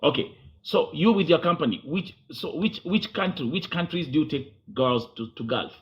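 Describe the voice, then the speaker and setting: A man's voice: on the high side, lively intonation, moderate pace, highs cut off. A man with a Kenyan accent hosting a confrontational interview, insistent and often repeating phrases as he presses his point. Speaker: host.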